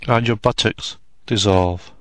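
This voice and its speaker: Slow, soothing male meditation guide, dry sound. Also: lowish voice, a bit bright, no echo. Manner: slow, soothing, deliberate phrasing with long pauses. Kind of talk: guided relaxation meditation narration.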